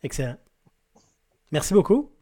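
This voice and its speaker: A man's voice: on the high side, sing-song, moderate pace, background noise. A man with a Québécois accent interviewing musicians, asking long, hesitant, rambling questions. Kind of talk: casual interview.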